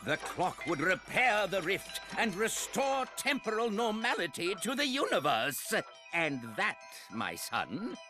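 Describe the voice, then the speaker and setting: A man playing a cheerful, doddering elderly alien scientist in a video game cutscene, theatrical, bumbling and whimsical. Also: a little high.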